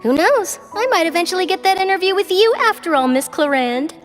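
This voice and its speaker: A woman's voice: very high voice, expressive, a little fast, big-room sound, soft background music. An actress playing an eager, chatty, persistent young female news reporter in a video game, with a bubbly, pushy manner. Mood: chatty, confident. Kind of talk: animated video game voice acting.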